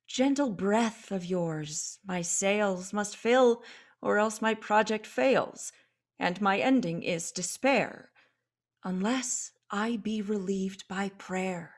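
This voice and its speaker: Woman doing weary exiled wizard duke, shakespeare radio play, really slow and measured, grand formal delivery. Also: medium pitch. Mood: weary, pleading. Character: Prospero, commanding, weary exiled duke and magician.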